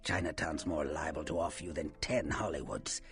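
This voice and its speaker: A man playing a sly old vampire, a faded film star turned informant in a video game, with a whispery rasp and a theatrical, sarcastic drawl. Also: very deep voice, fairly even intonation, moderate pace, a bit bright, faint music behind. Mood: sly, warning. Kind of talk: video game character acting.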